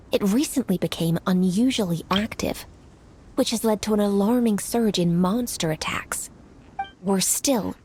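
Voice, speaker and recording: A woman playing a noble commander in a video game, composed and authoritative, with a measured, formal, businesslike delivery. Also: medium pitch, a little mellow, slight background noise, natural voice. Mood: grave briefing.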